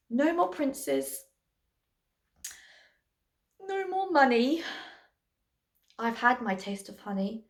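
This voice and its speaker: A woman's voice: mid-range voice, a bit bright, roomy sound, recorded from a distance, pitched below the performer's own voice, full character voice. A woman voicing a headstrong, demanding young Cinderella from a comic fairy tale, exclamatory and whiny. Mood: wary, wistful.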